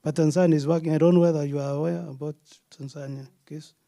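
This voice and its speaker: A man's voice: on the high side, fairly even intonation, quick pace, creaky, dark tone, a little hiss or hum. A man answering a question on a conference panel, hesitant and full of fillers.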